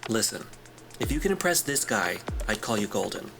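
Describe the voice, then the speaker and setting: Breezy corporate clerk, animated pitch, glib, teasing, friendly, naturalistic sci-fi audio drama, music behind. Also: normal speed, creaky. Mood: encouraging, confiding. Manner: breezy, wry, casual.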